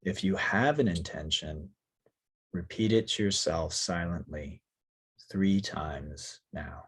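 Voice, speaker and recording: Slow, low, husky male meditation guide. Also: poor audio. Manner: calm, soothing, long pauses between short phrases. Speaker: yoga nidra teacher.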